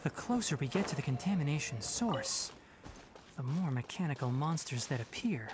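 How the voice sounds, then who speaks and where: A man playing an earnest, principled young forest scholar and ranger, speaking in a measured, articulate, lecturing way in video game dialogue. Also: fairly high pitch, animated, background noise, close to an everyday voice. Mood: calm, cautionary. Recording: game music behind the voice.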